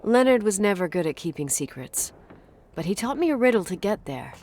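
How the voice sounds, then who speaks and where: A woman's voice: mid-range voice, big pitch swings, a little fast, darkish tone, slight background noise, close to an everyday voice. A woman playing a wry, guarded character in a game, speaking in a hesitant, dryly sarcastic, naturalistic conversational way. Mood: recollecting. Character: wry, guarded adult woman recalling her childhood.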